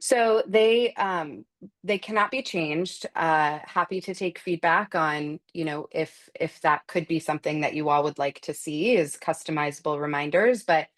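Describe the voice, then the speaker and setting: A woman with a low-pitched voice answers customer questions during a software webinar. She talks off the cuff, explaining things step by step and correcting her own phrasing along the way. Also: moderate pace. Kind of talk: unscripted webinar walkthrough and Q&A.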